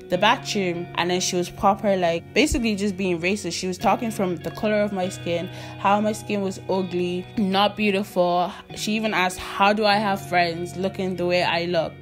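A woman recounting a personal memory in a casual, conversational way. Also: on the low side. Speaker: interviewee. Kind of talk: personal testimony.

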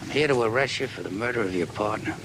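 An actor playing a dogged, deferential police detective, speaking in halting, folksy, musing phrases. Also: male voice, lowish voice, lightly acted. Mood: polite, apologetic. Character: dogged, deferential, rumpled male police detective closing in on a killer. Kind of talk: dramatic dialogue acting. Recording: noticeable background noise.

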